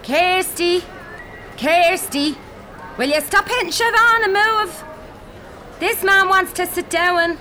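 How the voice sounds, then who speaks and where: A woman's voice: extremely high pitch, slow, pauses often, bright, forceful tone, exaggerated voice. An actress playing a harried, foul-mouthed working-class mother in a radio drama, brash and used to calling out to children. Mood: scolding. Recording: noisy shopping centre ambience. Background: clear public ambience.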